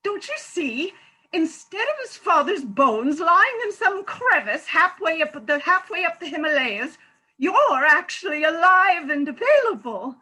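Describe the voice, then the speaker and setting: Woman acting a high-pitched, distressed mother. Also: sing-song, measured pace, pushed and bright, exaggerated voice, poor audio. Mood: pleading. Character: distressed unmarried mother, a nurse, pleading earnestly. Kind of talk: comic farce readers theatre.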